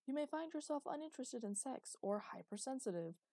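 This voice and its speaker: A woman's voice: sits high, lively intonation, fairly quick, dark tone, dry recording, studio quality, pitched above the performer's own voice. A woman voicing a man narrating an old instructional video in a formal announcer manner. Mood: announcing.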